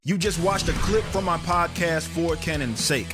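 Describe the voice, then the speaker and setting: A man's voice: fairly high pitch, moderate pace. A man who hosts a podcast, reading a scripted promotional outro. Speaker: podcast host. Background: music.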